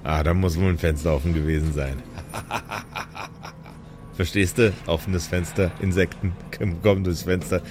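Guy doing a dodgy buddy cleric, deep voice, slangy, says stuff twice, loud music under it. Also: fairly even intonation, measured pace. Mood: cool, menacing. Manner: slangy, repeating himself. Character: shady, chummy male cleric playing it cool.

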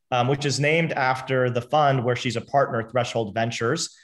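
A young man hosting a seminar, reading a guest introduction with occasional ums and restarts. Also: mid-range voice. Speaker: seminar host.

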